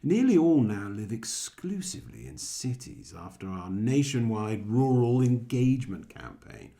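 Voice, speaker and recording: An actor in a satirical radio play as a cold, measured government minister, deliberate and matter-of-fact. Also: male voice, lowish voice, big pitch swings, vocal fry, warm tone, heavily acted. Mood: coolly measured.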